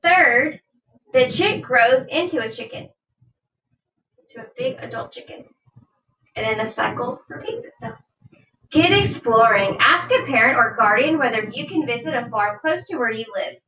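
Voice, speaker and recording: High, bright woman reading to children, roomy sound. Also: lively intonation. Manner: deliberate, instructive, asks listeners questions. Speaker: teacher reading aloud to children. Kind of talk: children's nonfiction read-aloud with teaching asides. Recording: dull, roomy sound, distant from mic.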